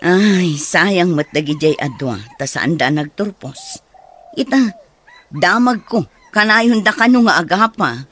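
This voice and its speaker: Low-pitched inquisitive lady. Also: lively intonation, measured pace, a bit bright, a little hiss or hum. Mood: curious. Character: inquisitive senior lady addressed as ma'am. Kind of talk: Ilocano radio drama acting.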